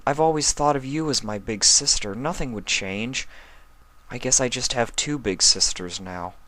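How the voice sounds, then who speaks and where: A man's voice: mid-range voice, normal speed, bright, forceful tone, voice pushed up, lightly acted. A man voicing an earnest, emotional young male dragon turned pony in an acted fan fiction reading. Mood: tender.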